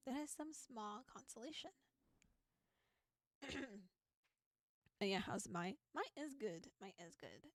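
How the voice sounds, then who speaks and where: A woman's voice: a little high, animated, slow pace, pauses often, no echo. A playful, giggly woman VTuber reading a visual novel aloud and adding casual commentary. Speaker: VTuber streamer.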